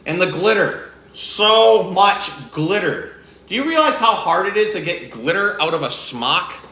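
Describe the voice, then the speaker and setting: A man's voice: high-pitched, big pitch swings, measured pace, creaky, pushed and bright, echoey room, a little hiss or hum, exaggerated voice. A man reading aloud as a grumpy, jealous male goblin who complains indignantly. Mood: grumbling.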